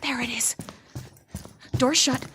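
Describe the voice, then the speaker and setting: A woman's voice: sits high, steady tone, takes it slowly, background noise. A woman playing an eager, impatient rookie zombie hunter in a comedic audio play, speaking with earnest urgency. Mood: impatient, urgent.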